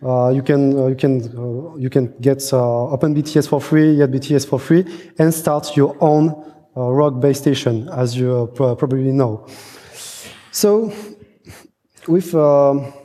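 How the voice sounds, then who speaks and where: A man who is not a native English speaker gives a technical conference presentation in a halting manner, often repeating and restarting his phrases. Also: mid-range voice, mellow. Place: large conference hall. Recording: echoey hall, long reverb, muffled.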